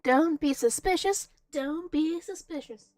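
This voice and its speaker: A woman's voice: high-pitched, unhurried, darkish tone, soft background music. A woman livestreamer giving casual, chatty game commentary, often repeating her phrases.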